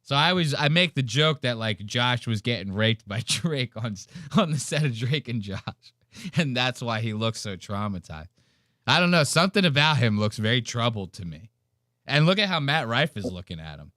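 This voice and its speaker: A man hosts a live reaction stream in a loose, slangy way. His mid-pitched voice sounds dry and close, and he mocks and swears freely as he comments. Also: very animated, moderate pace, pushed and bright, no echo. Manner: profane, slangy, mocking.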